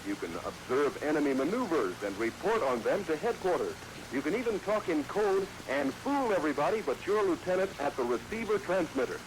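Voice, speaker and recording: A man's voice: on the high side, big pitch swings, fairly quick. Peppy 1940s radio ad man. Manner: peppy, salesman-like. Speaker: radio ad announcer. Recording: hissy 1940s radio recording.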